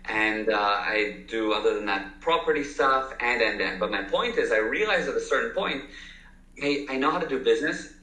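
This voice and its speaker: A man's voice: mid-range voice, lively intonation, normal speed, bright, forceful tone. A man in his thirties with a mixed American and South African accent, telling his story as a guest in an upbeat, talkative way. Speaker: entrepreneur guest. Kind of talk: casual interview talk. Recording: echoey room.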